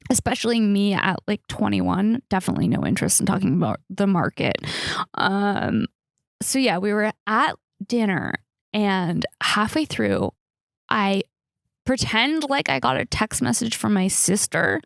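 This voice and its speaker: A woman in her thirties with a creaky voice, chatting casually and candidly as a comedic podcast host, rambling and poking fun at herself. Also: mid-range voice, no echo, studio quality. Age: 30s. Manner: rambling, candid, self-mocking, casual slangy talk. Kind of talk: casual solo podcast chat, comedic storytelling.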